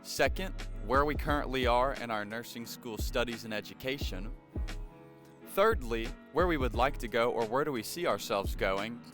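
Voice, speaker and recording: A young man, a nursing student, calmly explaining the parts of his video project about his classmates. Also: mid-range voice, normal speed, soft background music. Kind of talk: narrated video project with interviews.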